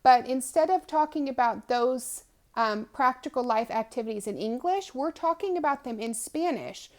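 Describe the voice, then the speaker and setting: A woman's voice: sits high, expressive, moderate pace. A woman in her thirties giving an informal, explanatory testimonial as a homeschooling mother, talking in a conversational way. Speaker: homeschooling mother presenting a language program.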